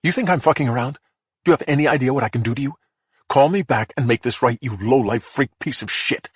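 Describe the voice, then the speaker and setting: A man's voice: mid-range voice, fairly quick, fairly bright tone, breathy edge, no room sound, clean studio sound, voice pushed up. A man voicing a stressed middle-aged man who hisses threats and panics on the phone, in a profane, snarling way. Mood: threatening. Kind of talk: audiobook character voice.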